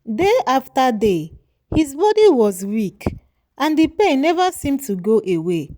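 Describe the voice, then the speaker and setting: Female folktale narrator: measured and moralizing, unhurried, mellow tone. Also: high voice, sing-song. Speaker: storyteller.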